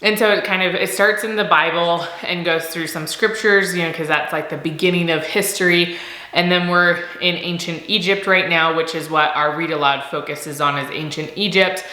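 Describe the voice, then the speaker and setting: A woman in her thirties, a homeschooling mom, chatting casually in a vlog, slightly nasal from a cold, upbeat and quick to laugh. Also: lowish voice, steady tone, bright, forceful tone, roomy sound, low-quality recording. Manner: upbeat, laughs often, repeats words for emphasis.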